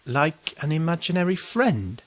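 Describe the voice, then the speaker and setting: A man's voice: fairly high pitch, sing-song, moderate pace. A man with a British accent playing a nervy, baffled, flippant ordinary bloke in a comic audio drama. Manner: flippant, exasperated, muttering.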